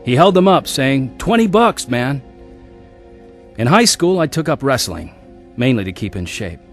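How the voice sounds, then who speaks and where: A man's voice: mid-range voice, very animated, a little slow, faint music behind. An actor narrating and playing a young man looking back on his wild youth in a radio drama.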